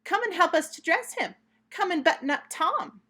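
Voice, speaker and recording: A woman's voice: very high-pitched, animated, moderate pace, pushed and bright, voice pushed up, full character voice. A woman voicing an eager little girl kitten in a storybook, pleading and urging in a singsong way.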